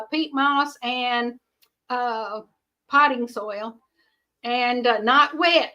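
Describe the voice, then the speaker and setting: Middle-aged woman vlogger, high bright voice, rambling homespun chat with frequent laughs. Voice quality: high-pitched. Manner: rambling, chatty, laughs often. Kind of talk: casual chat to viewers.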